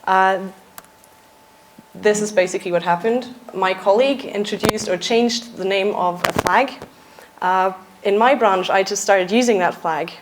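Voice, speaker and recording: A young adult woman from Austria, a software engineer giving a conference talk in a casual, lightly joking way with frequent uhs. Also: medium pitch, unhurried, a little hiss or hum, poor audio. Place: conference stage.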